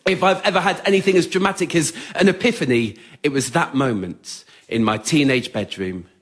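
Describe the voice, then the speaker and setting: A man's voice: a little high, fairly quick, fairly bright tone. A man performing scripted, autobiographical comic storytelling to a live audience in a theatre. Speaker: writer-performer of a one-man spoken word show. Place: on stage in a radio theatre with a laughing audience.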